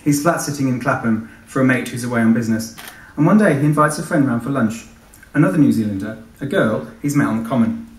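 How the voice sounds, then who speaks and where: A man's voice: medium pitch, fast talker. A man, an author giving a live reading from his novel. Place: live event in a large venue. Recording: echoey hall, distant microphone.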